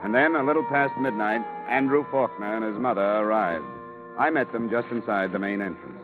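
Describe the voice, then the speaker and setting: An actor playing a weary, hard-boiled American insurance investigator in old radio drama style, with dry, clipped delivery. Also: male voice, fairly low pitch, a little fast, lightly acted. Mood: dry, narrating. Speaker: lead actor in a radio detective serial. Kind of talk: 1940s-50s radio acting, first-person narration. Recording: muffled old radio broadcast with music.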